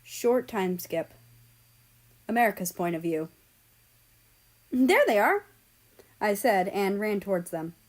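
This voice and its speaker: A woman reading fiction aloud as an expressive narrator. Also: mid-range voice, unhurried. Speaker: story narrator.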